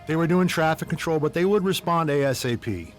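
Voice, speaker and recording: A man's voice: on the high side, brisk, faint music behind. A man hosting a podcast, telling humorous stories in a casual, wry, tongue-in-cheek way. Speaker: podcast host. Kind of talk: casual humorous storytelling commentary.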